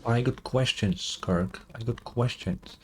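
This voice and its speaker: A man doing casual reaction commentary as a game streamer, thinking aloud haltingly and swearing casually. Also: medium pitch, a little hiss or hum.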